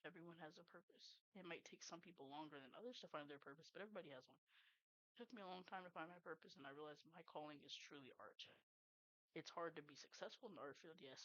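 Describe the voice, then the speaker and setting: A woman's voice: sits low, fast, a bit bright, rough recording. A young woman hosting a livestream podcast, talking in an earnest, rambling, heartfelt way with casual swearing. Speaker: streamer and podcast host.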